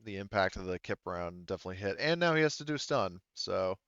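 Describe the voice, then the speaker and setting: A man acting as game master of a tabletop roleplaying game, talking casually and haltingly as he thinks aloud. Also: low-pitched, animated, fairly bright tone, smooth, no echo, muffled recording.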